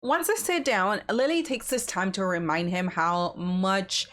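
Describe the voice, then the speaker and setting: Woman host, sassy and chatty casual recap, mid-pitched voice. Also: measured pace, a bit bright. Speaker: reaction channel host.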